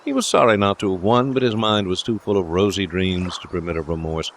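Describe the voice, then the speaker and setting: Low-pitched male audiobook narrator. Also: expressive, a little fast, a little hiss or hum. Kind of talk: scripted literary narration.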